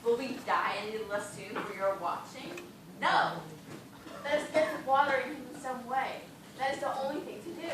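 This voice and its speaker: A woman's voice: a little high, normal speed, natural voice. A woman acting a vain, desperate dancer dying of thirst in an amateur stage play, with melodramatic, pleading, formal diction. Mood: scheming, desperate. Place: indoor theatre stage, recorded from the audience distance. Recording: echoey noisy hall from far off.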